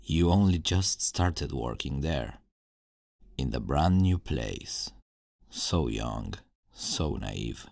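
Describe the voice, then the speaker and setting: Brooding supervillain scientist for ASMR roleplay, played by a man: extremely low gravelly voice, slow deliberate delivery, menacing yet regretful. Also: animated, darkish tone, dry recording, studio quality, full character voice. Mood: calm, regretful. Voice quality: very deep, gravelly. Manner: slow, deliberate, sighing, dramatic pauses. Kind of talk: ASMR roleplay, dramatic acting.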